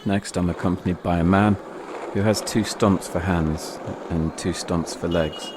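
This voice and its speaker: A man narrating a first-person audio memoir in a reflective way, in short, measured phrases. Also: deep voice, steady tone, background noise. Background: music.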